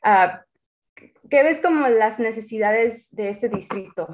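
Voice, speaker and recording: A woman interviewing a guest in Spanish, encouraging him and pausing with fillers as she asks her questions. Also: fairly high pitch, expressive.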